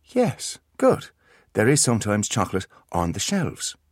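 A man's voice: on the low side, huge pitch swings, measured pace, no echo, clean studio sound, voice pushed up, heavily acted. A man voicing a clever teenage boy patiently lecturing his little brothers.

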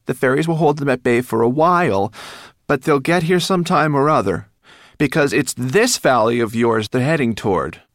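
A man voicing a small female rat in a storybook, shrill, sarcastic and scolding. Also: expressive, normal speed, dry recording, clean studio sound, natural voice. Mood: alarmed, urgent. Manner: emphatic, scolding.

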